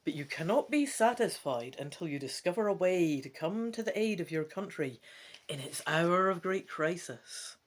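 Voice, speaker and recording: Man, lively varied pitch, dramatic storybook narration, gamebook player. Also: moderate pace.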